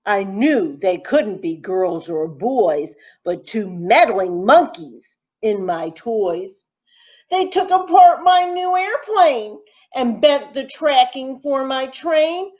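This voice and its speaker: A woman teacher reading a rhyming picture book aloud to children in a deliberate, expressive, sing-song way. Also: on the high side, dull audio. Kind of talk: expressive storybook read-aloud in rhyme.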